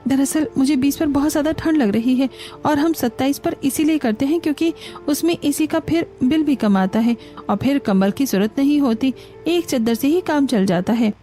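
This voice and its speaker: A woman's voice: high voice, moderate pace, dark tone, lightly acted. A woman voicing a dutiful, put-upon young daughter-in-law in a cartoon story, meek and polite. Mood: polite pleading.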